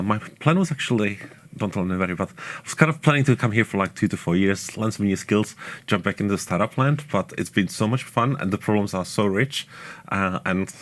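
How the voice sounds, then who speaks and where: Man, from Australia, lowish voice, chatty, self-correcting, engineering manager. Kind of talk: panel discussion answers.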